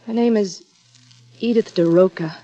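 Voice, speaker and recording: A woman's voice: medium pitch, mellow, lightly acted. A woman playing a hurt, nervous murder suspect in a 1950s radio drama, answering hesitantly. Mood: hurt, anxious. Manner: hesitant, halting, subdued. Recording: muffled vintage radio, music behind.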